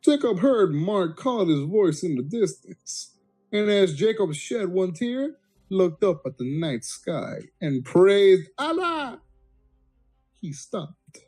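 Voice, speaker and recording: Mock-dramatic amateur story reader with wide pitch swings. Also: darkish tone, slightly rough. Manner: mock-dramatic, crude, breaking off into asides. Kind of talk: dramatic reading of a comic story.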